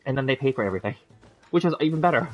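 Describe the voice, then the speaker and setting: A man's voice: mid-range voice, lively intonation, racing, faint music behind. A man in his thirties chatting casually with stream viewers, rambling through a story with lots of fillers and restarts, laughing easily. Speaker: gaming streamer. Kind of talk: casual livestream chat with viewers. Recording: dull lo-fi stream audio.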